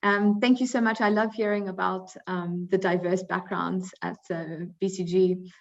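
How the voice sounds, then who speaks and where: A woman's voice: fairly low pitch, steady tone, moderate pace, vocal fry. A South African woman hosting a panel webinar as a recruiting coordinator, friendly, with frequent ums and uhs. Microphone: video call. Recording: slightly dull video call audio.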